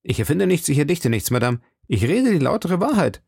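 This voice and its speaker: An eager young gallant in his teens, voiced by the narrator, lifted in pitch and persistent. He speaks in formal, ardent storybook dialogue with a husky edge. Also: very animated, a little fast, a little mellow, dry recording, studio quality, exaggerated voice. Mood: insistent, irritated. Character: ardent, insistent young gallant man, not yet twenty. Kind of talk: audiobook character dialogue.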